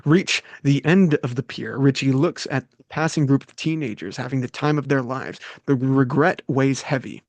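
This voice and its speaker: A man's voice: medium pitch, moderate pace, few pauses, no echo. A man reading scripted stage directions aloud as the narrator of a table read.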